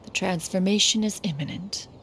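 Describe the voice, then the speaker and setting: A woman's voice: medium pitch, moderate pace, a little hiss or hum, natural voice. A woman playing a dignified, aloof immortal crane master in a video game, speaking formally and archaically. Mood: grave, measured.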